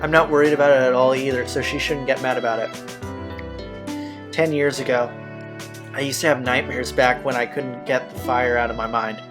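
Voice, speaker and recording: Casting: male let's-play host reading visual novel text aloud, mid-pitched, with faint game music underneath. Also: fairly even intonation, moderate pace.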